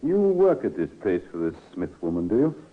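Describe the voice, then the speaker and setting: Self-important government clerk, lowish animated voice, probing, thin vintage radio sound. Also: male voice, moderate pace. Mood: officious, suspicious. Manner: officious, questioning. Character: officious, probing male health registrar. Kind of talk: old-time radio acting. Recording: tinny vintage radio recording.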